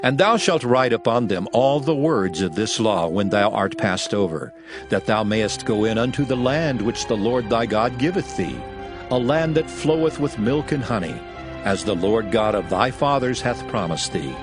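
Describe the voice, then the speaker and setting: A man's voice: on the low side, animated, lightly acted. A man voicing a solemn lawgiver. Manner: solemn, measured, commanding. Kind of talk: dramatized scripture reading. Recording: music bed beneath voice.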